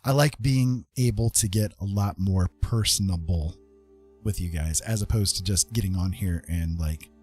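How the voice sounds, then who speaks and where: A man with a fairly low, husky voice hosts a casual livestream. He rambles in a personable way, speaking straight to his viewers. Faint music plays behind him. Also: normal speed, a little mellow. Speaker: livestream host.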